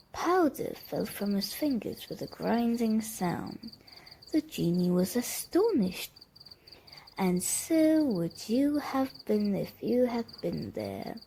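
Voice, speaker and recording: A woman reading a children's bedtime story in a dramatic, deliberate way, addressing the listener directly. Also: a little high, warm tone, slight background noise, rough recording.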